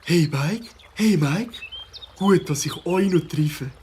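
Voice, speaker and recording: An actor playing a friendly forest character in a children's audio drama, husky and earnest, with halting phrasing. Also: male voice, high voice, a little mellow, a little hiss or hum. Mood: grateful.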